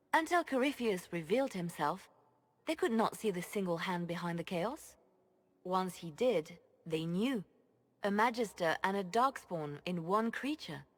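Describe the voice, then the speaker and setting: A woman playing a shrewd spymaster in a video game, speaking in a measured, formal, knowing way. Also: medium pitch. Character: composed, shrewd female spymaster advising her lord. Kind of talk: video game cutscene voice acting. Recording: music behind the dialogue.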